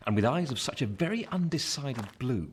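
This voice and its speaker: A man's voice: mid-range voice, expressive, moderate pace, close to an everyday voice. A man playing a reflective adult narrator recounting his childhood, in dramatic literary style with an English accent. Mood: wry, storytelling. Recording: faint background noise.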